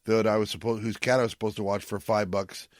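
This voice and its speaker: A man with a fairly deep voice talks in a dry, close recording. He co-hosts a casual recap show, retelling plots in an animated way and slipping into quoted lines as he goes. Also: fast, no echo. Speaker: podcast co-host. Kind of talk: casual recap chat about retro TV sci-fi.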